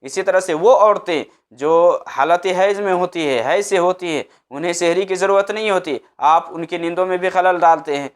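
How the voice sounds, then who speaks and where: A male Islamic preacher explains a religious ruling. His voice sits a little high and rings clean and resonant. He teaches emphatically, restating each point in short phrases. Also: moderate pace, hardly any pauses, smooth. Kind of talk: religious advice talk.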